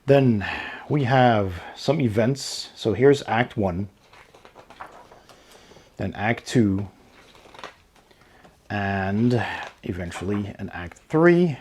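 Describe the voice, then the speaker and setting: A man giving casual unboxing commentary as host of a tabletop game channel, in an unhurried show-and-tell way with pauses. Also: fairly low pitch, animated, a little mellow, slight background noise.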